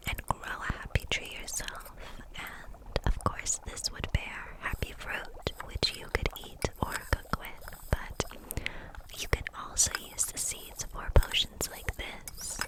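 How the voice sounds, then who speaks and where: A woman playing a gentle, kindly kitchen witch in an ASMR roleplay, speaking in a soft, soothing, nurturing whisper. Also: natural voice, rough recording.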